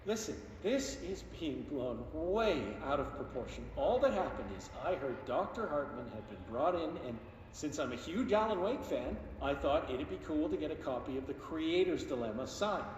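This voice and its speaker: A man's voice: on the high side, lively intonation, moderate pace, hardly any pauses, muffled recording, lightly acted. A man playing an awkward, defensive office clerk and fanboy in a video game, rambling and whiny. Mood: nervous, defensive. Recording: distant, very echoey hall, faint noise.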